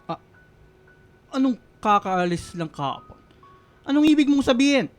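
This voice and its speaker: A man's voice: very high-pitched, expressive, moderate pace, soft background music. A man playing a stern, reproachful older father-in-law in a radio drama. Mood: questioning, suspicious. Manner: reproachful, indignant.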